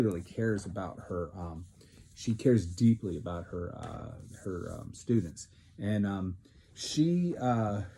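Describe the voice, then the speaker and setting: A man's voice: fairly low pitch, dark tone, slight background noise. A man hosting a casual chat with his daughter, teasing and joking with many fillers. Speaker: podcast host and father.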